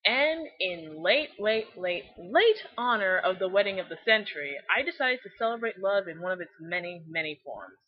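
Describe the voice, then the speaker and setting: Young female fan-video host, mid pitch, very animated, snarky and profane commentary, lo-fi recording. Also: moderate pace, hardly any pauses, slightly rough. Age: young adult. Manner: sarcastic, exaggerated, profane.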